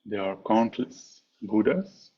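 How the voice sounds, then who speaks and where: Low-voiced man guiding a meditation, dull noisy recording. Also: lively intonation. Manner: measured, with frequent pauses between short phrases. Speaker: meditation teacher. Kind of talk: guided meditation instruction. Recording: dull, muffled online call with clear background noise.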